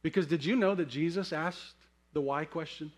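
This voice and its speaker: A middle-aged man preaching a sermon as a pastor, telling stories conversationally and leaning into dramatic, weighted pauses. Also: a little high. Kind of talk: sermon with personal storytelling.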